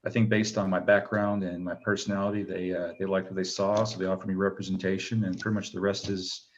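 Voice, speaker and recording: Low-voiced man rambling through a story. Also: level tone, quick pace, highs cut off. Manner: rambling, many fillers and restarts. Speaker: guest, actor and retired military pilot. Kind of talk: casual interview answers.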